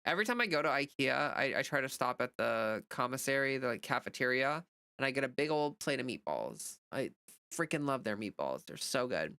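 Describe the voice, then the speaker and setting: A woman's voice: rumbling low, sing-song, brisk, a bit bright, no room sound. An American woman livestreamer chatting casually and off the cuff, rambling informally as she answers viewer comments. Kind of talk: casual unscripted chat.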